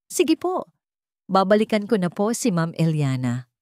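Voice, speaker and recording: A woman's voice: mid-range voice, big pitch swings, unhurried, a little mellow, no room sound, clean studio sound. A woman voicing a wounded, defiant young single mother in an emotional radio-style drama story. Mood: guarded, polite.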